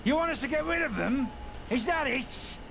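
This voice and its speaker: An actor playing a menacing gang captain in a video game, haughty, sneering and full of cold contempt. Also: male voice, very high voice, expressive, moderate pace, gravelly, background noise, exaggerated voice.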